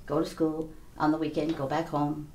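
An older woman from Hawaii telling her life story in an interview, in a halting, plainspoken way with many "you know"s. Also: deep voice, completely flat delivery, warm tone, roomy sound, rough recording. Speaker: interview guest. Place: small indoor studio, seated before a backdrop.

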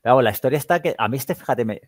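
Spanish guy from spain hosting a gaming podcast, mid pitch, slangy casual chat, dry mic. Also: animated, racing, no echo. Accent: Castilian Spanish. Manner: colloquial, slangy.

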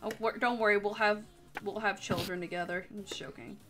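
A woman's voice: mid-range voice, steady tone, brisk, crowd noise. A young woman chatting casually on a livestream in a jokey, sweary way. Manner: crude, sweary, jokey banter. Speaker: live streamer. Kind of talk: casual livestream chat while drawing.

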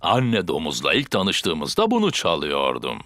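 Deep, swooping, jovial daddy pig. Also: male voice, huge pitch swings, a little slow, pushed and bright, dry recording, heavily acted. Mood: helpful. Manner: hearty, boastful, exaggerated. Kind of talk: children's cartoon dubbing. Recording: music under the voice.

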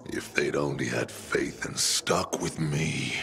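An actor playing a bitter, broken middle-aged drunk, a former crew leader, with a gravelly, husky voice and slow, muttering delivery in a video game. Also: male voice, rumbling low, sharp tone, slight background noise, full character voice. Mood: bitter, regretful. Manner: slow, halting, muttering, breathy. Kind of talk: video game dramatic acting.

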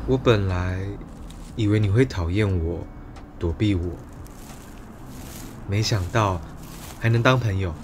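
A man plays a sincere, bashful young student in a radio drama. His voice is on the low side, with clear street ambience around it. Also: measured pace, pauses often, noisy background, close to an everyday voice. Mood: shy confession. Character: shy, sincere young man confessing to schoolmate.

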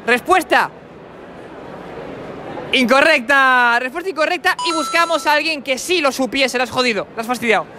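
A man's voice: extremely high pitch, moderate pace, pauses often, background noise. Brash young man. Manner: brash, mocking, playful insults. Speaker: host of street quiz. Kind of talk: teasing street quiz interview. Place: indoor convention hall. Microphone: handheld mic with furry windscreen.

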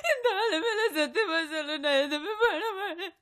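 An actress playing a frightened young bride who begs, crying, to be let go. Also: female voice, very high-pitched, animated, moderate pace, a bit bright. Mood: tearful pleading. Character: terrified young bride begging for release. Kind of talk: film drama acting.

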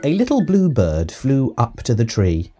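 A man reading a children's audiobook as narrator, in an expressive, measured read-aloud style. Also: medium pitch, faint music behind. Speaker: storybook narrator.

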